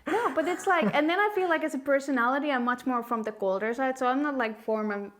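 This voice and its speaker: A woman's voice: high-pitched, fast, noisy background. A woman with a Finnish accent chatting casually and candidly to camera as a video host, telling stories in a self-deprecating way. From Finland. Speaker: host of a puzzling video channel. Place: quiet room at home.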